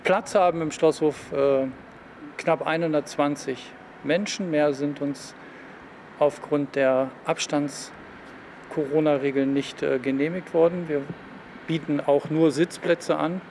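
A man in his fifties giving an interview statement as a concert organiser, in measured short phrases. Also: on the high side, steady tone, a little mellow, slight background noise. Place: outdoor yard by street.

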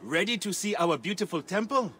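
An actor playing a genial middle-aged temple priest, courteous and effusive. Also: male voice, high-pitched, animated, a little fast, sharp tone, a little hiss or hum.

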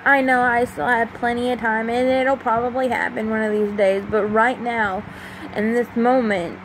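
A woman of twenty-five talking in an emotional, halting way into a phone held close, at home at night. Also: fairly high pitch, flat delivery, low-quality recording. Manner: emotional, halting, with many pauses and fillers. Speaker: vlogger. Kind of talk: confessional personal vlog. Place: small room at home at night, fan running. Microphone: phone held close to the face. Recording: faint fan hum in a home room. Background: faint fan hum.

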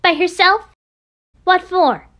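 Woman acting hot-tempered anime girl, loud, blunt, bossy, scolding. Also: very high-pitched, slow, highs cut off. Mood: dismissive. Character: brash, hot-tempered, bossy teenage girl, former idol. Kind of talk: amateur anime game voice acting.

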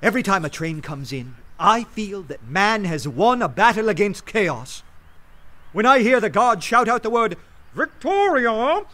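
An actor playing an earnest, respectable poet of law and order in a radio play, speaking with literary rhetoric. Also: male voice, sits high, sing-song, pushed and bright, exaggerated voice. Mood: fervent debate. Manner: measured when narrating, fervent and rhetorical in debate. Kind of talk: radio drama narration and acting. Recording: music bed under the voice.

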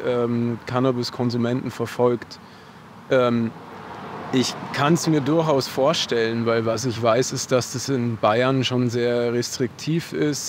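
A man answering a street interview outdoors in thoughtful, measured sentences with frequent fillers, into a handheld mic. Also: mid-range voice, noisy background.

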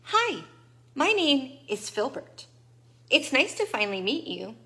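A woman's voice: sits high, very animated, measured pace, sharp tone, exaggerated voice. Woman doing Filbert, a shy little mouse. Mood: earnest. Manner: shy, earnest and polite. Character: polite timid little male mouse.

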